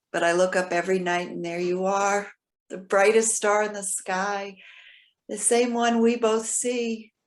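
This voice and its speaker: A woman's voice: mid-range voice, lightly acted. A woman playing a hopeful, lovestruck elderly woman in readers theater, reading and composing love messages aloud. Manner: reads and composes messages aloud with murmured asides. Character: lonely, hopeful, romantic elderly woman writing love emails.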